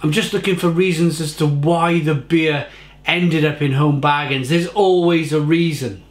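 A man's voice: fairly high pitch, fairly even intonation, measured pace, echoey room. A man, casually reviewing a beer at home and often repeating his words. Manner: chatty, repeats words, gestures with hands. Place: home kitchen, indoors. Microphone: fixed camera at desk.

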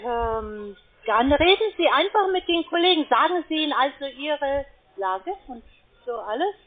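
High-voiced woman on a tinny phone line. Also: lively intonation. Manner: hesitant, halting, persuading. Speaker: call centre trading salesperson. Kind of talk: unscripted sales phone call. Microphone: telephone.

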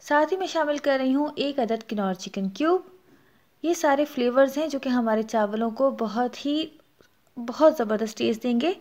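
A woman with a Pakistani Urdu accent hosting a cooking channel, narrating a recipe step by step and adding practical tips. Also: fairly high pitch. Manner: explanatory, instructive, gives practical tips.

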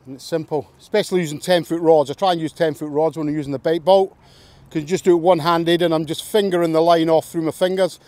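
Male angler, fairly high but very mellow voice, casual practical demonstration, dry close sound. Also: steady tone, quick pace, no room sound. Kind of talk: casual hands-on explanation. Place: outdoors at a fishing lake.

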